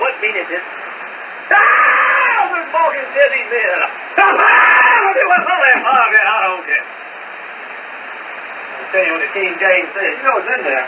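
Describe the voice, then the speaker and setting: A man preaching a fiery Pentecostal holiness sermon, screaming his exhortations and dropping suddenly into plainer talk, heard over shortwave radio. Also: very high voice. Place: heard from a shortwave receiver's speaker. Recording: noisy, muffled shortwave radio broadcast, distant.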